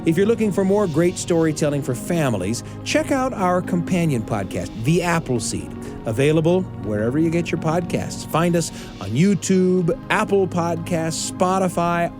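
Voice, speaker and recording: A man hosting a family podcast, speaking in an animated, emphatic storyteller's way. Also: a little high, normal speed, over music. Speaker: podcast host. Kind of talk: warm-up chat and scripted podcast hosting for families.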